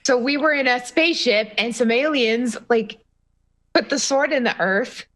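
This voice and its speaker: A woman's voice: fairly high pitch, moderate pace, a bit bright. A woman with a Canadian Ottawa Valley accent, co-hosting a podcast in a teasing, playful way. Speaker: podcast co-host. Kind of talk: casual podcast chat.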